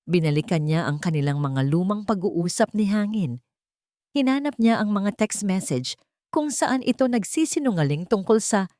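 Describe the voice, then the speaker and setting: A woman narrating a scripted dramatic story in a measured delivery. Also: fairly low pitch, a little mellow, dry recording, studio quality.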